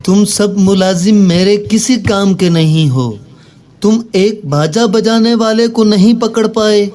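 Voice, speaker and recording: Cartoon village landlord, played by a man: stern, bossy, scolding, high-pitched and unhurried. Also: a little mellow. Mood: angry. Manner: scolding, authoritative. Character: angry, commanding village landlord, stern with his servants. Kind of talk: children's cartoon moral story.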